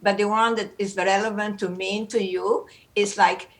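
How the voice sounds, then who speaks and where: Older woman, energetic off-the-cuff speaker who rambles and repeats. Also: mid-range voice, moderate pace. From Romania. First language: German. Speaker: guest speaker. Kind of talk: unscripted motivational talk.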